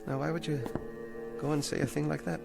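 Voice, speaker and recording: A man's voice: fairly high pitch, flat delivery, a little fast, gravelly, over music. An actor playing a down-on-his-luck male ventriloquist who talks in an eager, fretful, anxiously upbeat way. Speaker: struggling ventriloquist. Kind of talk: 1960s television drama acting.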